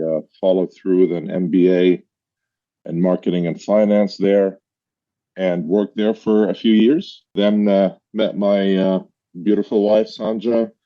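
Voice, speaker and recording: A man from Egypt, a podcast guest, talking about his background in a friendly, relaxed way. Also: low-pitched, muffled recording. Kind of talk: casual conversation.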